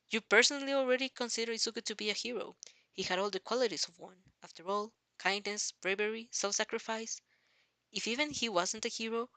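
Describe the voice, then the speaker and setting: A woman reading a story aloud as an amateur fan-fiction narrator, dramatic but halting, often repeating phrases. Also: mid-range voice, sharp tone, dry recording.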